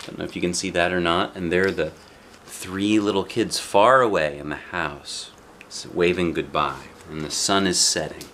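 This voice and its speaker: A man reading a picture book aloud as a dad does, in expressive narration with casual asides. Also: deep voice, normal speed, slight background noise.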